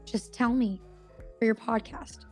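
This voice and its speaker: An actress playing a busy, exasperated younger sister in young adulthood, blunt and prodding, in a naturalistic audio drama style. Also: female voice, fairly high pitch, steady tone, measured pace, a little mellow, faint music behind, close to an everyday voice.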